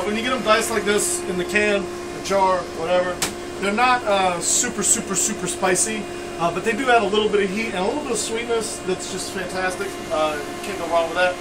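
A man in his thirties with a fairly high voice talks loud and jokey while he cooks, throwing in punchy little asides as he rambles. He stands a few feet from the camera mic, so he sounds distant. A loud hum of air conditioning runs under everything. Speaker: cooking show host. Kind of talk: casual cooking demo chat. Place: small cluttered kitchen, indoors. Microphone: camera mic a few feet away.

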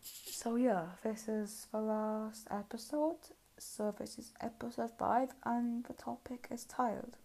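A woman's voice: fairly high pitch, steady tone, takes it slowly, vocal fry, a little mellow, slight background noise, rough recording. A woman hosting a reaction video, thinking aloud in casual, unscripted commentary.

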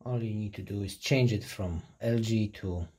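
A man in his thirties, not a native English speaker, casually reviewing a laptop in plain, halting English and apologizing for his language. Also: on the low side, roomy sound. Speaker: tech reviewer. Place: hot room at home with a construction site nearby. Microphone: laptop built-in mic and camera. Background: buzzing construction noise.

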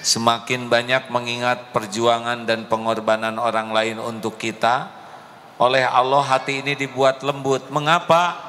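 A middle-aged man preaching in a large mosque into a handheld microphone, speaking in a deliberate, emotive way to the congregation. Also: mid-range voice, level tone, bright, forceful tone, slight background noise. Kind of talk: mosque sermon. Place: large mosque hall, indoors. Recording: echoey hall with faint ambience.

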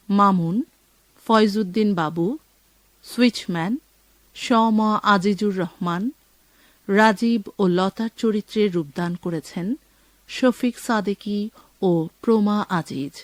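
A man announcing in a formal, measured, scripted style as a radio presenter. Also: sits high, no room sound, rough recording.

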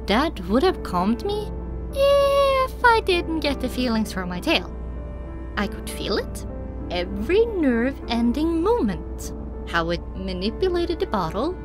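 A woman reads a first-person story as an audiobook narrator. Her voice sits fairly high, and music plays clearly behind her. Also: very animated, unhurried.

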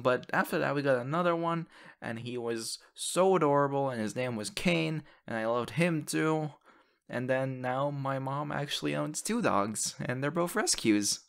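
A man playing an excitable, sappy young boyfriend in an audio roleplay, talking in a gushing, hesitant, stammering way into a close mic. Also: mid-range voice, gravelly. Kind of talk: ASMR audio roleplay, conversational acting. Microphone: close condenser microphone.

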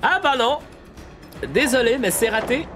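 A young man streaming a game live, chatting to his viewers, a little nasal from a cold, repeating himself when excited. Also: very high-pitched, a little slow, music in the background. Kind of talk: live gameplay commentary.

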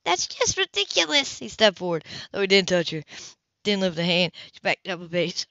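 A woman's voice: lowish voice, steady tone, a little fast, fairly bright tone, dry recording, dull audio. A woman narrating a novel as an amateur audiobook reader, reading dramatically with occasional stumbles. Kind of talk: scripted fiction narration.

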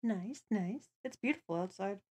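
A woman's voice: medium pitch, expressive, normal speed, dark tone. A woman hosting a livestream, chatting casually and playfully with her co-host and viewers.

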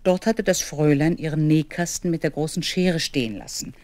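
A woman's voice: low-pitched, a little fast, a little mellow, no echo, natural voice. A woman playing a grandmother who narrates memories of her own childhood in a children's radio play. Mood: reminiscing. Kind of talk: scripted storybook narration in 1970s radio play.

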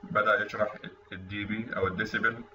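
A man's voice: on the low side, flat delivery, fast, sharp tone. A man with an Egyptian Arabic accent explains technical material in an informal, conversational tutorial voice-over. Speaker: instructor. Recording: roomy, distant mic with faint noise, dull sound.

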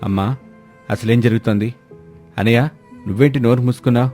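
Man as young brother-in-law, medium pitch, earnest, concerned, questioning, family drama story, music behind. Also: fairly even intonation, a little fast, a little mellow, natural voice. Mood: puzzled, indignant.

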